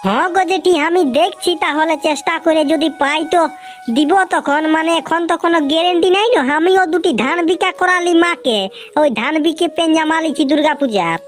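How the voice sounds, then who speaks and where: An actor voicing a cocky, grumbling village big brother in a comic cartoon, boastful and exaggerating, with a Purulia Bengali accent and a pitched-up voice. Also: brisk, soft background music. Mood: explaining.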